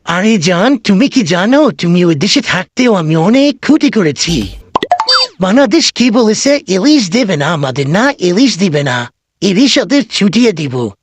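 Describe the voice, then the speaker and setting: A man's voice: high-pitched, very animated, measured pace, background noise. Man voicing a swooping, fawning old cartoon lover. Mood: placating. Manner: wheedling, placating, coaxing. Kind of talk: amateur comic cartoon voice acting.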